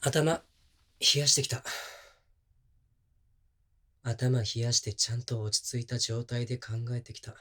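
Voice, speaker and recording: A man's voice: mid-range voice, fairly even intonation, a little slow, pauses often, fairly bright tone. A male actor playing a defensive, hot-tempered young boyfriend in a voice drama, speaking bluntly and casually to the listener up close. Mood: subdued, gentle. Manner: blunt, casual masculine speech. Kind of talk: situation voice drama addressed to the listener. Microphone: close binaural microphone.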